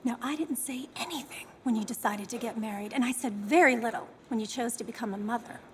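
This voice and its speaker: An actress as a cold, calculating woman boss in a film drama. Her voice is fairly high and scolding, in a reverberant space with some faint background noise. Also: quick pace, close to an everyday voice.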